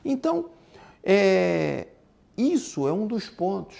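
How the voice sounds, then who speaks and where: A man's voice: high voice, big pitch swings, slow, gravelly, warm tone. A man with a Brazilian accent giving an informal business lesson, emphatic, repeating his points and posing rhetorical questions. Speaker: business instructor. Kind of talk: informal lecture on exporting and business.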